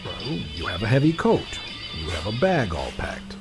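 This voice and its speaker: An actor playing a sinister, silky magician's mechanic in an audio drama, speaking in a formal, ominous, insinuating manner. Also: male voice, lowish voice, huge pitch swings, normal speed, over music.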